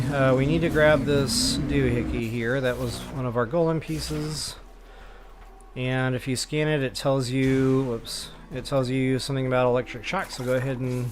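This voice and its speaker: A man's voice: mid-range voice, background noise. A man doing casual live gameplay commentary as a streamer, rambling along with folksy slang. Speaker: game streamer.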